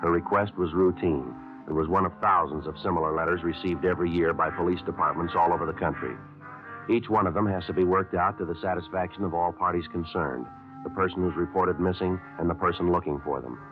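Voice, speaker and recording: A terse, deadpan police detective , played by a man, speaks in a very low, flat voice at a quick clip. The sound comes through a tinny old radio broadcast. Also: over music, natural voice. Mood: narrating. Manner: flat, clipped, deadpan delivery. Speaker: police sergeant and narrator. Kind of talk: 1950s radio drama acting.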